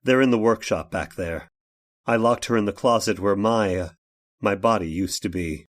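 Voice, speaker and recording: An actor playing an anguished, vengeful man in an old time radio horror drama, speaking haltingly with dramatic pauses. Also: fairly low pitch, lightly acted. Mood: menacing. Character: anguished man turned vengeful robot, narrating his horror tale. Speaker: narrator and lead actor.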